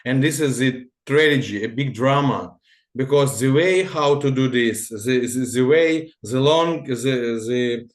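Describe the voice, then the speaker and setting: Male guest, halting delivery, roomy echo. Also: medium pitch. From Russia-born, Ukrainian. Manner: halting, searching for words. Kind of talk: casual Q&A answers.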